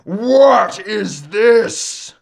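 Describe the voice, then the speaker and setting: Man voicing a haughty, slow-roaring sun god. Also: high-pitched, gravelly, voice pushed up, heavily acted. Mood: roaring, commanding. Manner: roaring, commanding, drawn-out delivery. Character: Sun, a mighty, haughty, blustering male sun god. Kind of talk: storybook character acting.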